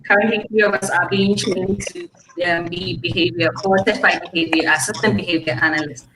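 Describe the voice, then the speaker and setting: A woman's voice: lowish voice, steady tone, brisk. Woman from Ghana, thoughtful, fillers, echoey room, audible background noise. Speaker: panelist, trainee behavior analyst. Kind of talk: panel discussion.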